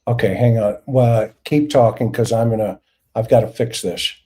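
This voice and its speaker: A man's voice: fairly low pitch, moderate pace, warm tone. A man hosting a live video show, distracted and apologetic while fixing technical problems. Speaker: host. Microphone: headset.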